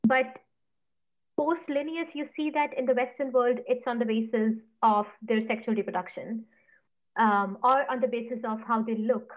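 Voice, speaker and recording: A woman from Punjab whose first language is Punjabi, answering interview questions in a measured, careful way. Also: on the high side, highs cut off. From Punjab, India. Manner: thoughtful, measured, careful hedging. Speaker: guest, writer on mosses.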